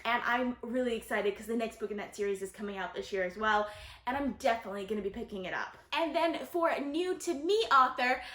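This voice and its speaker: A woman's voice: high-pitched, a bit bright. A woman talking casually and enthusiastically to her viewers as a book reviewer, gushing and emphatic. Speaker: book reviewer, video host. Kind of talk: casual book-tag vlog talk to viewers. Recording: roomy echo.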